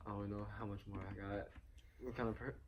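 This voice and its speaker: A young man hosting a casual movie review chat, talking excitedly and acting out lines from the film. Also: lowish voice, fairly quick, muffled recording, background noise. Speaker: host.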